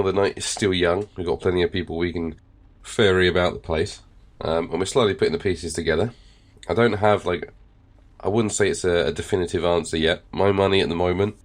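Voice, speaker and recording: A deep-voiced man with a stuffy, nasal sound comments on a game in a loose, rambling way. He leans on fillers and now and then a swear word. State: nasal and congested, recovering from illness. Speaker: let's play host. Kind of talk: casual gameplay commentary and reading game text.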